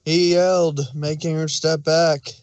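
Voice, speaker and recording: Higher-voiced man narrating, dry sound. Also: little pitch movement, unhurried, darkish tone, no room sound. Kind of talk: scripted storytelling, fan fiction read aloud.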